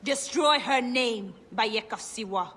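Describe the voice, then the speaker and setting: A woman's voice: fairly high pitch, takes it slowly, a bit bright, big-room sound. An actress playing a bitter, wounded woman hungry for revenge in a dramatic video game. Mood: vengeful, fierce.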